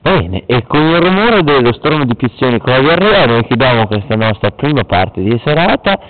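A man's voice: medium pitch, big pitch swings, moderate pace. A young man jokingly hosting a mock interview in a late-night home video, laughing often and putting on an announcer tone. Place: room at night. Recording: tinny lo-fi home video with loud hiss.

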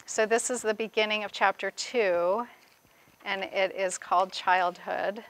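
A woman in her forties, an author from the Bahamas, telling and reading her memoir in a measured, expressive storytelling way. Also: mid-range voice, poor audio. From grew up in the Bahamas. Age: 40s. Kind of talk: reading aloud from own memoir, with spoken commentary. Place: indoors, seated before a plain wall at a reading event.

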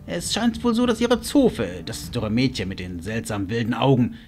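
A flustered manservant in a fantasy radio play, acted by a man. His mid-range voice is formal and servile, with halting deference to his master. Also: medium pitch, big pitch swings, a little mellow. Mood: deferential. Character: deferential, nervous male servant of a lord. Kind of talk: audio drama acting.

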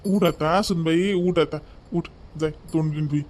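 Cartoon father-in-law, played by a man: older, slightly high yet dark soft tone, folksy moraliser, Varhadi Marathi accent. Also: steady tone, moderate pace, lightly acted. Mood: brisk, chiding. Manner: preachy, folksy, rhetorical questions. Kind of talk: amateur cartoon family drama.

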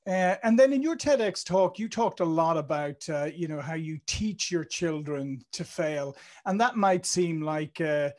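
A man's voice: fairly high pitch, animated, unhurried, few pauses. Irish man hosting a video-call interview. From Ireland. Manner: chatty, with many fillers and restarts. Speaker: interview host. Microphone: studio mic on a video call.

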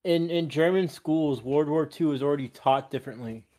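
A man's voice: on the high side, moderate pace, dark tone. A man in his late twenties chatting casually on a podcast, rambling and arguing his point with plenty of fillers. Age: 20s. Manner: rambling, argumentative, many fillers. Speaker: podcast co-host. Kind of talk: casual chat.

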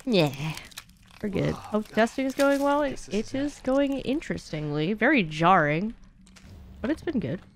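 A woman's voice: medium pitch, big pitch swings, measured pace, creaky, slight background noise. A young adult woman giving casual, blunt, sweary live-stream commentary while speedrunning a game. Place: small indoor streaming room at home.

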